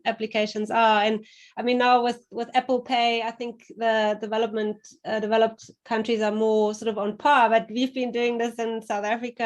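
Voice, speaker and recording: German-accented woman on a panel. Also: fairly high pitch. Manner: thoughtful, many fillers and restarts. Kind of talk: panel discussion.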